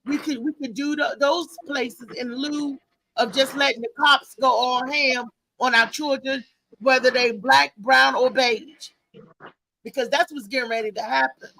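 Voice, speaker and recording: Lady hosting a live panel chat, sharp voice with some fry, emphatic, repeats herself. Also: sits high, steady tone, unhurried, low-quality recording. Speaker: talk show host.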